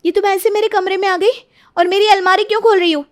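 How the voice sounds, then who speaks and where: A woman's voice: sits high, normal speed, creaky, a little mellow. A woman voicing a heartbroken young wife, crying and pleading, with bitter outbursts.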